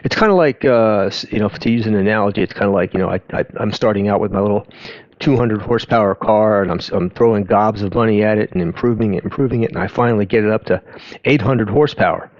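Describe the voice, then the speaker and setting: A man with a fairly low voice talks through a technical explanation informally. His delivery is halting and conversational, often doubling back on itself. The recording is dry and somewhat dull, with little room sound. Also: darkish tone, dry recording. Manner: halting, conversational, self-interrupting. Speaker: host of an audio education channel. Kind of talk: informal explainer talk over on-screen graphs.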